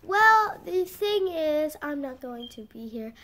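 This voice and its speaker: A woman's voice: sits high, sing-song, slow, fairly bright tone. A young female vlogger chatting casually in her bedroom into a camera mic, rambling with lots of fillers. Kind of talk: casual vlog. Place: small bedroom indoors.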